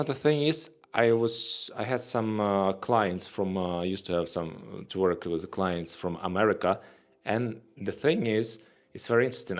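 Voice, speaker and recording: A man from Ukraine with a Ukrainian accent, co-hosting a casual livestream chat, rambling and repeating words as he searches for phrasing. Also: low-pitched. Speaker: co-host.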